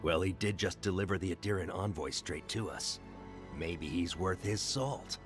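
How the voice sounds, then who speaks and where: Man playing a blunt, deep-voiced tower guard. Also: moderate pace, over music, close to an everyday voice. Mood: firm. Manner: blunt, commanding. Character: gruff male fighter guarding a tower.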